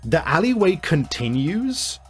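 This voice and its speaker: A man's voice: fairly high pitch, big pitch swings, a little slow, breathy edge. A man narrating a tabletop roleplaying game as game master, improvising and often searching for his words, with music behind him.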